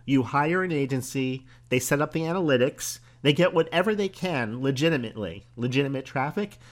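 Brief: male podcast host, hoarse, mid-pitched, casual thinking-aloud delivery. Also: animated. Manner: conversational, musing aloud with pauses and small laughs. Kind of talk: solo podcast monologue.

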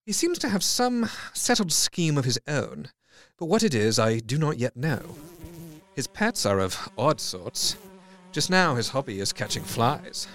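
Brooding doctor, very lively intonation. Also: male voice, mid-range voice, unhurried. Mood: absorbed, analytical. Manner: formal, clinical, reflective. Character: brooding, studious male asylum doctor recording case notes. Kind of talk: dramatic diary narration.

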